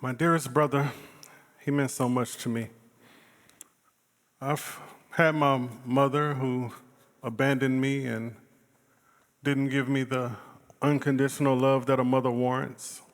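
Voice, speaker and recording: A man playing a wounded, tender painter in a stage monologue, confiding in halting, broken phrases. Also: mid-range voice, little pitch movement, close to an everyday voice. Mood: aching, tender. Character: wounded, tender male painter mourning his brother's bond. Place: indoor stage performance space. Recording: echoey stage hall.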